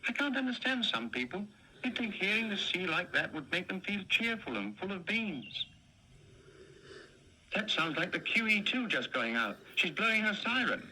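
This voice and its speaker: A man voicing an eager, excitable young male dog who stammers when excited, in a high chirpy voice, for a stop-motion cartoon. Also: moderate pace, slight background noise, full character voice, low-quality recording.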